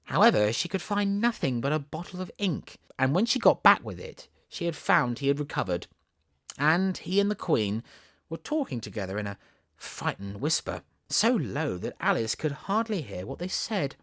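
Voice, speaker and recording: A man with a Southern English accent reading a storybook aloud as an audiobook narrator, expressive and theatrical. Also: fairly high pitch, normal speed, no echo, clean studio sound. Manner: theatrical, animated.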